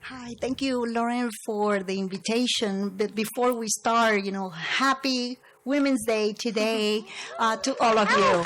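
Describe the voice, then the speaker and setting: A middle-aged woman with a Venezuelan Spanish accent and a slightly high voice speaks on a panel. She talks earnestly and fills her pauses with frequent ums and uhs. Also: fairly bright tone. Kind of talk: panel talk.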